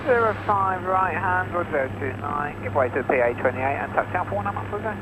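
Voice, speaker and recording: A man making quick, procedural pilot calls over aviation radio. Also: sits high, expressive. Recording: dull, noisy aircraft radio link.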